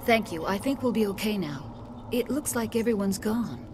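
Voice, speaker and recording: A woman's voice: mid-range voice, expressive, normal speed, noisy background, lightly acted. An actress playing an earnest, educated woman scientist who is shaken, in a video game. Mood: relieved, shaken. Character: shaken, educated female scientist leading an excavation.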